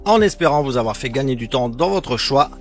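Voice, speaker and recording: A man with a mid-range voice presents a scripted product comparison as a voice-over. He reads in a measured, explanatory way with a sales-pitch style. Faint music plays behind him. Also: lively intonation. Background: background music.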